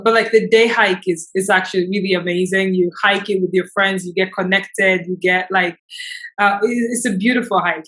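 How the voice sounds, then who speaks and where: A woman's voice: medium pitch, a bit bright, poor audio. A young woman from Tanzania, a business founder, talking casually in an interview with many fillers and restarts.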